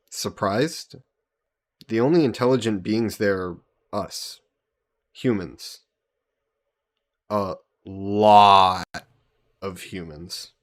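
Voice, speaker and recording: Guy acting as young isekai hero in fantasy roleplay audio, really slow and kinda deep, playful teasing, chatty. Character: easygoing young summoned hero from Earth, teasing and fond.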